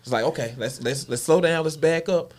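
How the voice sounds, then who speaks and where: A man's voice: a little high, fairly quick, a little mellow. A man chatting casually as a guest in a group interview, effusive and repeating phrases for emphasis.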